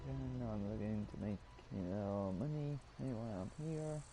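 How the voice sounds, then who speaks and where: A man's voice: lowish voice, moderate pace, noisy background. A man casually commenting while playing a game and rambling to himself. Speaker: gamer. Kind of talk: casual let's-play commentary. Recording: clear game music behind voice.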